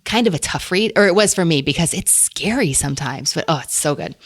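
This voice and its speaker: A woman's voice: sits low, expressive, fairly quick, dry recording, clean studio sound. A woman hosting a book podcast, talking casually and enthusiastically about books and laughing often. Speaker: podcast host.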